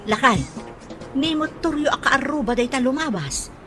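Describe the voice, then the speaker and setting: Actress as excitable Ilocano wife in radio comedy, high voice with big pitch swings. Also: female voice, normal speed, over music. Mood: wary. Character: excitable, questioning village wife. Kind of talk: comedy radio drama acting. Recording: noisy track with animal sounds.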